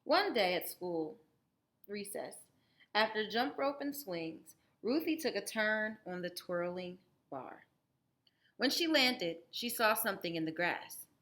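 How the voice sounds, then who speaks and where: A woman reading a children's story aloud as a teacher, expressively, pausing to explain it to young listeners. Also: medium pitch. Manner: animated, explanatory, guiding listeners through the story.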